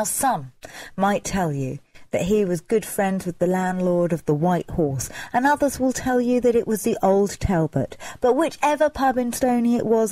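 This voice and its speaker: Mellow-voiced woman telling a folk tale. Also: mid-range voice, dry recording. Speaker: storyteller. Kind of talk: dramatic oral folk tale narration.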